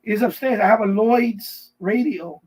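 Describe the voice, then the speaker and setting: A middle-aged man with a notably high voice that has a husky, rough edge. He chats casually as a live stream host, wandering from thought to thought and circling back to repeat himself. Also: a little slow, darkish tone. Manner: rambling, repeats himself often. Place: basement.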